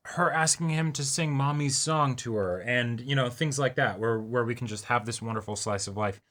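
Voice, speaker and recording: A man's voice: medium pitch, moderate pace, fairly bright tone. A man co-hosting a reaction show, discussing things in a casual, conversational way. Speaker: co-host of an anime reaction show.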